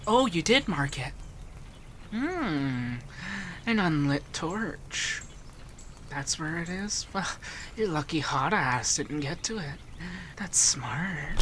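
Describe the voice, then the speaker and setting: A man playing a doting, teasing feminine male monster companion in a softspoken, often whispered ASMR roleplay. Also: a little high, slight background noise, exaggerated voice. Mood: easygoing, warm. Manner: breathy, often dropping to a whisper.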